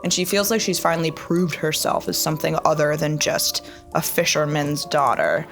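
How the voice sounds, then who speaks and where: A woman's voice: sits low, normal speed, gravelly, fairly bright tone, soft background music. A young woman in a tabletop game, reflectively describing her character's thoughts with frequent fillers. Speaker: player.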